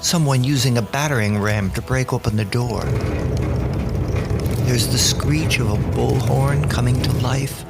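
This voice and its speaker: A man's voice: medium pitch, measured pace, creaky. A man narrating a scripted audio drama as the storyteller. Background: music bed.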